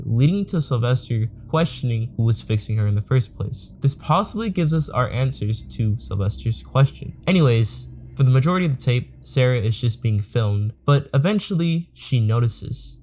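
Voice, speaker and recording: A man giving a measured, matter-of-fact scripted explainer narration as host of a video series. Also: medium pitch, warm tone, slight background noise.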